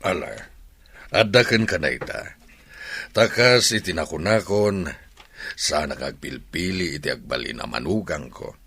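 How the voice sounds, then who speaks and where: A man's voice: rumbling low, measured pace, sharp tone, slightly rough, full character voice. A man playing an emphatic older village father advising his grown son in a radio drama. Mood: insistent. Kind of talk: Ilocano radio drama acting.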